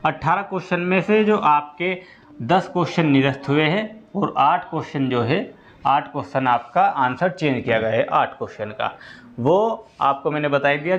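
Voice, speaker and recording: Male presenter, fairly high pitch, informal repetitive explainer delivery, dull low-fidelity sound. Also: slight background noise. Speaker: exam-update presenter for students. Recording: dull lo-fi audio.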